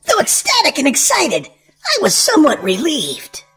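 Female children's story host, extremely high voice, hugely varied sing-song delivery, music underneath. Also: huge pitch swings, moderate pace, a bit bright. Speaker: host and reader of a children's read-aloud show. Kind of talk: animated children's storybook narration.

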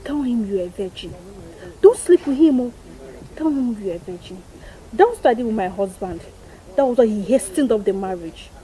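A woman's voice: fairly high pitch, lively intonation, normal speed, warm tone, lightly acted, poor audio. A married woman acting in a skit as a friend who gives persuasive advice. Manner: confiding, persuasive, then apologetic.

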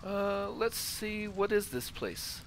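A man's voice: high-pitched, lively intonation, takes it slowly, slight background noise. A man hosting a gaming video, giving playthrough commentary and reading in-game text aloud. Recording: faint game ambience behind.

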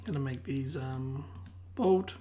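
A tutor losing their voice who keeps clearing their throat, calmly explaining a software tutorial one step at a time. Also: medium pitch, slow. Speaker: web design tutor. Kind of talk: screen-recorded software tutorial.

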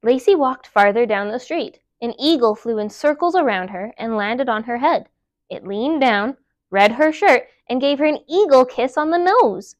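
A woman in her thirties reading a children's picture book aloud in an expressive, emphatic storytime style. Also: fairly high pitch, dry recording. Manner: animated, emphatic, deliberate storytelling. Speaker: library storytime reader. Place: indoor room, seated before a dark wall. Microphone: clip-on lapel mic.

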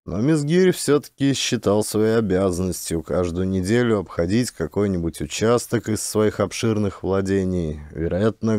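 A man narrating a literary short story as an audiobook, in a measured, expressive storytelling manner. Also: on the low side, dry recording, studio quality.

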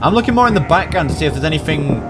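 Animated, higher-voiced young British man. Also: very fast. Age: 20s. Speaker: reaction video host. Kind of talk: casual horror gameplay reaction commentary. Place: small indoor room at home. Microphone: close boom microphone. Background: game video music.

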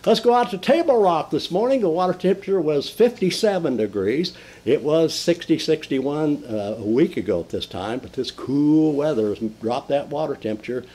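An older man hosting a folksy fishing report from a den, talking with lively enthusiasm and frequent fillers and restarts. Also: on the high side, moderate pace. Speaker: fishing show host. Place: indoor den with mounted fish on the wall.

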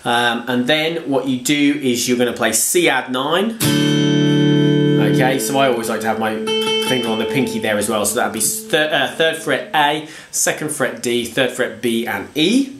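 A man's voice: mid-range voice, moderate pace. A man with a bunged-up cold voice runs a casual guitar lesson at home, chatty and self-deprecating. His mid-range voice bounces around a roomy space. Electric guitar strumming rings out behind him. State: congested from a cold. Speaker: guitar teacher. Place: home room with bookshelves. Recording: echoey room with guitar playing.

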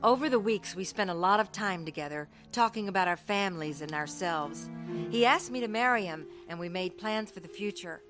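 A woman's voice: mid-range voice, animated, a little fast, over music, close to an everyday voice. An actress playing a young woman carnival worker in a radio drama, telling her hard life in an earnest, dramatic way. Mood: reflective, earnest. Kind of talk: radio drama narration and dialogue.

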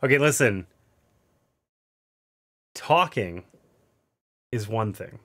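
A man's voice: fairly low pitch, animated, drawn-out words, a bit bright. A man in his thirties with a nasal voice, casually talking through a board game play-through from a gaming table indoors, explaining each move with wry asides.

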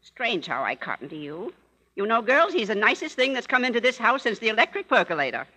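An actress playing a doting, fussy elderly aunt in a 1930s radio drama, gushing and homespun. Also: female voice, on the high side, very animated, fairly quick, bright, forceful tone, heavily acted. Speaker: Aunt Etta.

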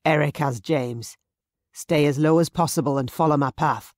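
A narrator voicing a determined Highland clan chief, firm and urgent in his orders. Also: normal speed, no room sound, studio quality, close to an everyday voice.

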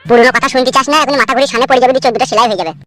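A man's voice: extremely high pitch, fairly even intonation, very fast, loud music behind the voice. A man voicing a grumpy, crude, sarcastic village husband in a comedy cartoon. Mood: irritated, sarcastic.

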